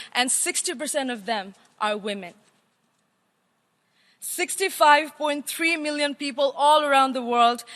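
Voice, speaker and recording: A young woman from Nepal, a youth chair giving a formal welcome speech in a large assembly hall. She speaks with earnest, deliberate oratory and builds long lists of phrases. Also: a little high, pushed and bright. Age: young adult.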